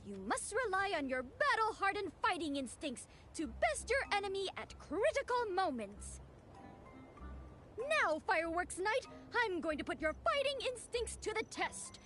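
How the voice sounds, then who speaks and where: A woman's voice: very high voice, sing-song, unhurried, fairly bright tone, soft background music, heavily acted. A woman voicing a grandiose, theatrical examiner of a knightly order in a video game, pompously proclaiming with solemn eagerness. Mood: grand, commanding.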